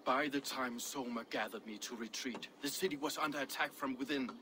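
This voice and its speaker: An actor playing a dutiful Viking shipwright in a video game, answering in a terse, firm, defensive manner. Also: male voice, mid-range voice, fairly even intonation, fairly quick, bright, forceful tone, lightly acted. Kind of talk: video game cutscene dialogue. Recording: game audio with clear ambient noise.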